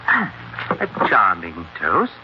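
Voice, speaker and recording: An actor playing a genial, smooth-talking husband who is secretly a schemer, in a fussy, polite 1940s radio style. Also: male voice, deep voice, wildly swooping pitch, very slow, full character voice. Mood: smoothly insistent. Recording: noisy, dull 1940s radio recording.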